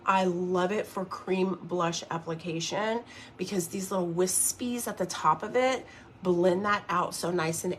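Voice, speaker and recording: A woman's voice: fairly low pitch, a little hiss or hum. A woman in her early fifties hosting a casual makeup livestream, chatting and rambling as she works and reads out viewer comments. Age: 50s.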